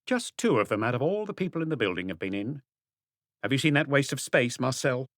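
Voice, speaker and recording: Casting: male reader as stony, defensive middle-aged woman for audiobook, raised pitch, swooping delivery, scornful and curt. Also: very animated, fairly quick, a bit bright, no room sound, clean studio sound. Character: stony-faced, tough, distrustful middle-aged French woman, defiant and defensive. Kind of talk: audiobook character dialogue.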